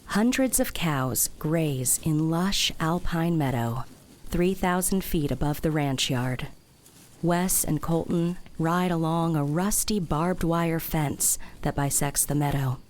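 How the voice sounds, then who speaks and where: Female narrator for audio drama: deep-pitched scripted storytelling, faint effects noise behind. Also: slow, a little mellow. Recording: background sound-effect noise.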